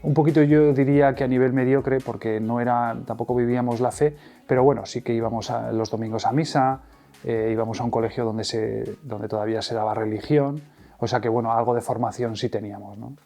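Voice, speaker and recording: A middle-aged man speaks in a warm, dark-toned voice. He recounts his personal story haltingly, full of pauses, fillers and false starts. Soft music plays faintly beneath him. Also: medium pitch, steady tone. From Madrid, Spain. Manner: hesitant, many fillers and restarts. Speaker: interview guest. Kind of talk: personal testimony interview.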